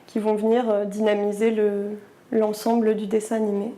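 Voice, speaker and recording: A woman working in animation explaining her job, pausing often with fillers. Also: mid-range voice, flat delivery, mellow, soft background music. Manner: hesitant, many fillers. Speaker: compositing artist. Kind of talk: explanatory interview.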